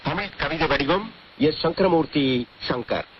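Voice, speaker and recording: A man's voice: fairly high pitch, measured pace. A man theatrically introducing a radio play in an announcer's style, on an archive recording. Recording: muffled archive radio drama.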